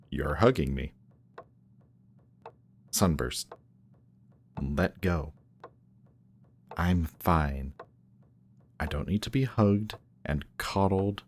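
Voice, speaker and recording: A man playing a grumpy, guarded villain who is secretly softhearted, speaking haltingly and defensively in an intimate one-sided roleplay audio. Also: deep voice, a little hiss or hum. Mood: stiff, softening. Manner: halting, defensive, reluctantly tender. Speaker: voice actor.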